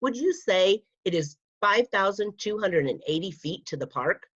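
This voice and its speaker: A woman reading a children's nonfiction book aloud as a school media specialist, deliberate and expressive with questions for young listeners. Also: mid-range voice. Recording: dull, lo-fi computer recording.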